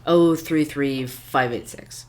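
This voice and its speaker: An actor playing a dogged, wry young male investigative podcaster in an audio drama, with a dry, measured, sardonic delivery. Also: medium pitch, lightly acted. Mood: politely frustrated. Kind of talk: scripted narration and dialogue, audio drama.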